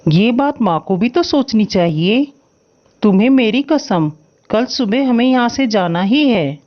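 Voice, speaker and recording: Casting: woman as caring, stubborn male crow husband, cartoon, fairly high voice, slightly dull recording. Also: lively intonation, measured pace. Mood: resolute. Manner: earnest, protective, insistent. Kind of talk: Hindi moral-story cartoon acting.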